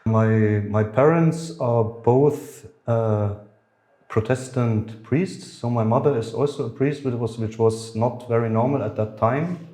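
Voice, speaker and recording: A middle-aged man, a podcast guest who talks in a halting way, full of fillers and restarts. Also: medium pitch, warm tone, roomy sound. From Germany. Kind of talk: conversational podcast interview.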